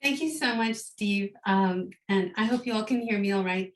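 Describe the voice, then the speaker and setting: A woman delivers a university-style lecture in a slightly hoarse voice, as she is getting over a cold. She speaks thoughtfully, pausing on fillers and restarting phrases. The sound is roomy and echoey. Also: mid-range voice. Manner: thoughtful, with frequent ums and restarts. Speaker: guest lecturer. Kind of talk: academic lecture.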